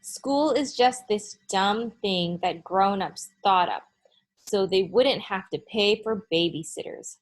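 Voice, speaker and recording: A woman voices an eight-year-old second-grade boy telling his own storybook tale. He is blunt and opinionated about hating school, and he spells out his points slowly and emphatically. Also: mid-range voice, rough recording. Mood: defiant. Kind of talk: children's storybook read-aloud.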